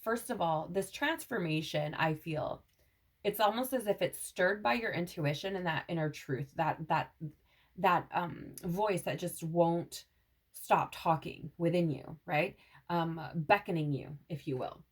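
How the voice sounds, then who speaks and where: Woman, lowish voice, tarot reader, meandering casual talk, slightly scratchy throat, says "right?" a lot. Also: a little slow. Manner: meandering, repeats "right?" and "like", intuitive channeling tone. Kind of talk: casual spiritual tarot reading to camera.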